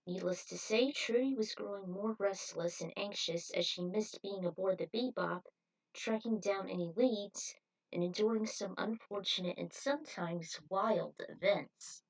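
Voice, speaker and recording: Woman narrator for self-written fan fiction, mid-pitched, recorded some way from the microphone. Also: a little slow. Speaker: author reading their own fan fiction. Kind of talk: story narration read aloud. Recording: voice distant from the mic.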